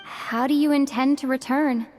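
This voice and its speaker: A young female traveler from a video game, voiced by a woman, gentle and composed. The voice is high and sounds earnest and kind. Music plays quietly underneath. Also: fairly even intonation, moderate pace, lightly acted.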